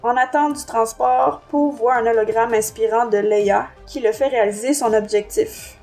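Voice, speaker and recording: A woman's voice: on the high side, steady tone, normal speed, darkish tone, faint music behind. A woman with a Quebec French accent co-hosting a podcast, reviewing a book in a somewhat hesitant, self-correcting way. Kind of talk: book review, plot summary and discussion.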